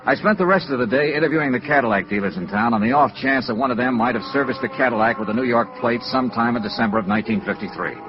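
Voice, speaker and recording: An American man playing a brisk, hard-boiled insurance investigator in a 1950s radio noir drama, clipped and matter-of-fact. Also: medium pitch, close to an everyday voice. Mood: businesslike. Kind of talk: 1950s radio noir acting and first-person narration. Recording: muffled vintage radio recording with music.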